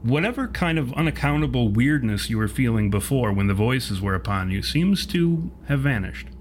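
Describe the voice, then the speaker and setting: A man's voice: mid-range voice, lively intonation. Wordy male game master, faint noise. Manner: wordy, measured, formal phrasing. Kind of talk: improvised narration of a tabletop game.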